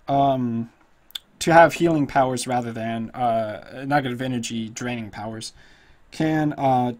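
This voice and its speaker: A slightly hoarse man casually walking through a tabletop character build, thinking aloud with lots of fillers. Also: mid-range voice. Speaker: tutorial host. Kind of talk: casual screen-recorded game tutorial.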